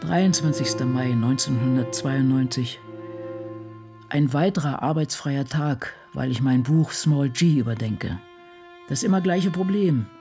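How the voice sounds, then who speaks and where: Gravelly, brooding older woman diarist. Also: a bass voice, a little slow. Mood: dry, reflective. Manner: brooding, dry, introspective. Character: ageing, world-weary woman writer confiding in her diary. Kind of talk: radio play diary monologue. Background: soft music.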